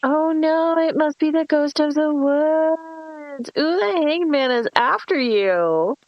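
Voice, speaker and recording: Actress as flippant young woman, sneering, teasing, unhurried, dry close sound, horror table read. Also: high voice, no echo, dull audio. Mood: mocking, teasing. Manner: teasing, sneering, drawn-out delivery. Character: bratty, flippant young woman, foul-mouthed horror-film girlfriend.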